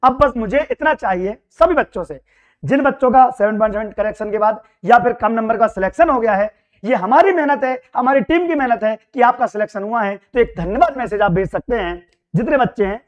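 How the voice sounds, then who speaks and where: A man who speaks with a Madhya Pradesh Hindi accent and has a hoarse, worn throat, giving students an excited, reassuring result update as their coaching teacher. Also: very high-pitched, a little fast. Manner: excited, reassuring, repeats phrases. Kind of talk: casual direct-to-camera update.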